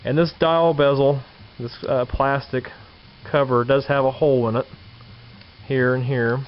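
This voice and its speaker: A man's voice: mid-range voice, steady tone, measured pace. A man giving a casual, unscripted show-and-tell in a folksy, colloquial way, going through details step by step. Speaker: vintage radio collector presenting a find. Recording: dull lo-fi camera sound, background noise.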